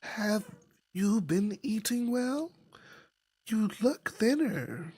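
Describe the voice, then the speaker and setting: A woman's voice: medium pitch, big pitch swings, extremely slow, dark tone, slightly rough, no echo, voice pushed up, full character voice. A woman voicing a frail, stoic older mother with a thin voice in a visual novel. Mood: weary, reserved.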